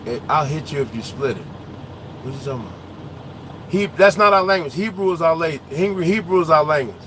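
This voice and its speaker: A man who drives trucks, talking casually in his truck cab on the road, loud and animated with slangy trash talk. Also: on the high side, brisk, noisy background. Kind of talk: casual ranting chat while driving.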